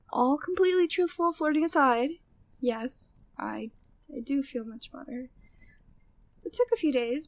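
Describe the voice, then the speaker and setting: A woman's voice: high voice, no room sound, full character voice. A woman playing a flirty, devoted, gossipy maid at a manor in an ASMR audio drama, speaking affectionately and teasingly in formal period wording. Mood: tender, flirty.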